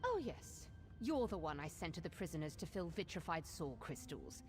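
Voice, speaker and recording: An actress playing a cold, curt, condescending adept of dark sorcery in a video game. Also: female voice, deep voice, sing-song, normal speed, over music. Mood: brisk demand. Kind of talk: scripted video game dialogue.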